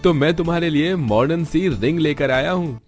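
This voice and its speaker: A man's voice: a little high, normal speed, faint music behind, close to an everyday voice. A man voicing a young husband, affectionate but demanding, in an Indian cartoon family story with emphatic delivery.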